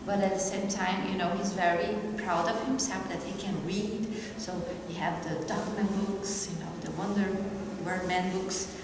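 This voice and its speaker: Brazilian mom, non-native English, hesitant; distant mic, roomy hall, noisy. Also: female voice, medium pitch, steady tone. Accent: Brazilian Portuguese. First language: Portuguese. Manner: hesitant, halting, searching for words. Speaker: parent. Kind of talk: asking a question at a workshop. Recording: echoey hall from far off, noisy.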